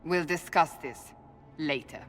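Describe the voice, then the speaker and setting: An actor playing a stern, distrustful adviser in a fantasy video game. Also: male voice, a little high, a little slow, a bit bright. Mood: disapproving. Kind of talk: video game cutscene acting. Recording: echoing hall, music behind.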